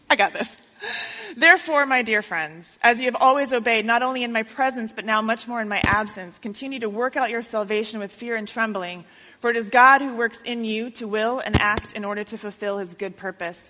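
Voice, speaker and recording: A woman's voice: a little high, a little fast, sharp tone, a little hiss or hum. A woman preaching a sermon in a church, joking and teasing the congregation and laughing often. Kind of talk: sermon with playful jokes. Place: church sanctuary. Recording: lo-fi hall recording with long reverb.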